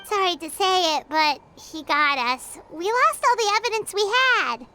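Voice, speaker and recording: Squeaky, tiny excitable fairy companion. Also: female voice, moderate pace, soft background music, heavily acted. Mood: dejected. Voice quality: squeaky, childlike. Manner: refers to self in third person, exclamatory. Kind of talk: video game voice acting.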